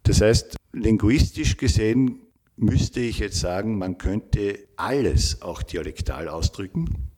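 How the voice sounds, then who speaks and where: A middle-aged man, a linguistics professor giving measured, academic interview answers and often rephrasing himself. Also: on the low side, lively intonation, darkish tone, breathy edge.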